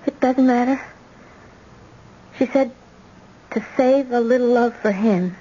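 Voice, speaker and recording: An actress playing a shy but determined eighteen-year-old granddaughter in an old-time radio drama. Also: female voice, a little high, a little slow, warm tone. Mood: resigned, resolute. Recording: muffled old radio recording.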